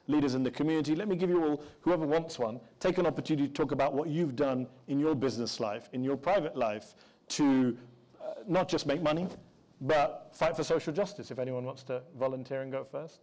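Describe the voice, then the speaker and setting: A man moderating a panel discussion on a conference stage, probing the guests with quick interjecting questions. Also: a little high, a little mellow. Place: indoor conference hall stage.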